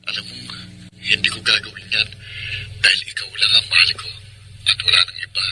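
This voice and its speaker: A man's voice: on the low side, completely flat delivery, a little fast, background noise, natural voice. A man playing a devoted young boyfriend in a Filipino radio drama, earnest and sentimental, with a Filipino accent. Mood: tender, longing. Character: devoted young boyfriend abroad, letter-writing narrator. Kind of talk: radio drama acting and narration. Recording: loud music under the voice.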